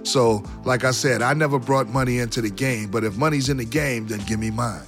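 Middle aged or older guy looking back in an interview, normal pitch, music behind. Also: fairly quick. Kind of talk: reflective interview talk.

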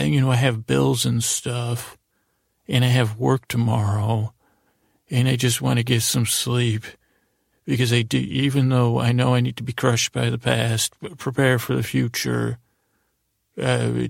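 A man improvising a rambling bedtime story as a podcast host, in a halting, creaky voice that keeps repeating itself and trailing off. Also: mid-range voice, no room sound, clean studio sound. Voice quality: gravelly, creaky.

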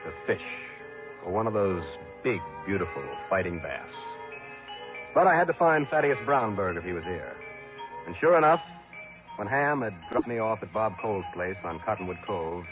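A man acting as a brisk, hard-boiled investigator who narrates his case in an emphatic 1960s radio drama style. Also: on the low side, lively intonation, highs cut off, lightly acted. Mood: reflective narrating. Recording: band-limited old radio recording, music behind.

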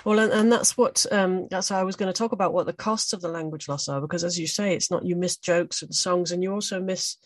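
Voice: medium pitch, fast talker, hardly any pauses, dry recording. A podcast host who talks in a hesitant way, restarting often while building a point. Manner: hesitant, with many restarts and fillers. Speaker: podcast host and magazine editor. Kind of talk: podcast conversation.

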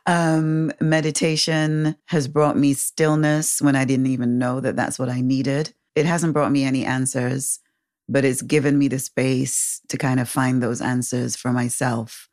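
Woman, 40s, very deep voice, candid storytelling, laughs often. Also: flat delivery, measured pace, darkish tone. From England, raised in the Caribbean. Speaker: guest sharing her story. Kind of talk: personal storytelling.

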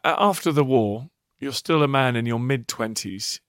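A man's voice: mid-range voice, normal speed, a bit bright, no echo. Courteous male interviewer, dry sound. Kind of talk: interview questions.

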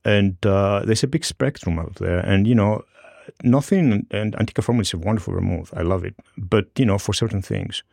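Man, from Greece, very low pitch, gravelly, hesitant, casual interview. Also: brisk, darkish tone, no echo, studio quality. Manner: hesitant, many fillers and restarts. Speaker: guest bartender and bar co-owner.

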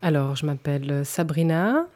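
A woman's voice: sits low, expressive, normal speed, darkish tone, faint music behind. A woman, speaking in an interview in a thoughtful and hesitant way. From canton of Vaud, French-speaking Switzerland. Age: 40s. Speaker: interview guest. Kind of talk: personal testimony in an interview.

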